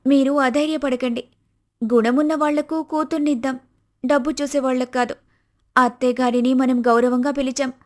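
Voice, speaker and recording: A woman voicing a principled, firm young married daughter in an animated moral story, speaking in an earnest, lecturing manner. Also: sits high, natural voice.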